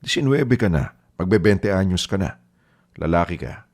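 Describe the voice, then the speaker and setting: Man voicing sick middle-aged dad, low voice, earnest, apologetic. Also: animated, normal speed. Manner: earnest, pleading. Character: ailing middle-aged father, gentle and apologetic. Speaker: father. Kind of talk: radio drama acting.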